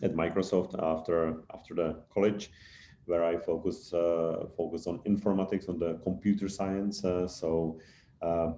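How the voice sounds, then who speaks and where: A deep-voiced man in his thirties with a Czech accent talks over a video call. His delivery stays flat and hesitant, full of fillers and restarts, and the audio has a roomy echo. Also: measured pace, slight background noise. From Czech Republic. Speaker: guest speaker, engineer. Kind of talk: online info session talk.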